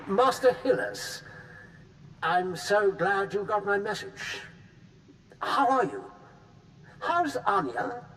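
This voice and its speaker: Game bit part: effusive, courteous male diplomat, high register, slight background noise. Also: sing-song, slow, pauses often, fairly bright tone, full character voice, rough recording. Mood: gushing welcome. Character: effusive, smooth-talking male imperial diplomatic official. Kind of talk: scripted video game dialogue.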